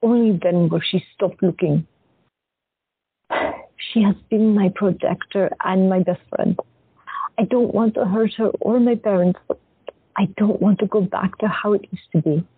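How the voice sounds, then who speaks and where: A woman voicing a gentle, calm young patient who has visions, speaking in a soft, earnest way in an audio drama. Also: medium pitch, measured pace, husky, dry recording. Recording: dry, thin phone-like sound.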